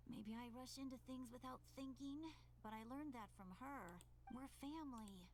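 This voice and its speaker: A woman's voice: high voice, measured pace, a little mellow, slight background noise. A woman voicing a stubborn, dutiful young doctor and defensive younger sister in a video game. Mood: earnest, bickering.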